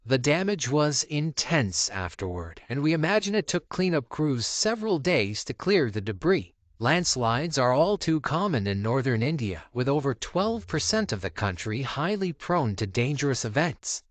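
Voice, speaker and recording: A male narrator delivers a scripted voice-over in a mid-range voice. His intonation is lively and varied, and the recording is dry with hardly any room sound. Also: medium pitch, moderate pace, hardly any pauses, no room sound. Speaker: narrator of a viral video compilation.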